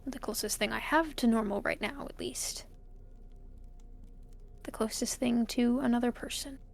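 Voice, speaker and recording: A woman's voice: fairly high pitch, vocal fry, faint music behind. An actress playing a lonely, weary woman who records a hesitant, intimate audio log in a drama. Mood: weary, vulnerable. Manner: hesitant, trailing off, halting.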